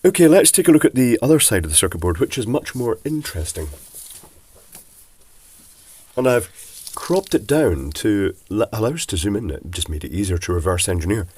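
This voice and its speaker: A man with a cold, sounding bunged up and croaky, casually explaining electronics as he takes a device apart. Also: fairly low pitch, very animated, fast, darkish tone. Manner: chatty, rambling asides. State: congested from a cold, husky. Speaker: electronics teardown presenter.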